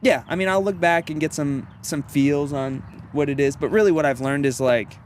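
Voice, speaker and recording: A man's voice: on the high side, normal speed. A young man in his early twenties from Louisiana, talking in a slightly raised voice with an easygoing, rambling flow. Traffic noise hums faintly outdoors behind him. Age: 20s. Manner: easygoing, rambling, frequent "you know what I mean". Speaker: disc golf pro, interview guest. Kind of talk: casual interview chat.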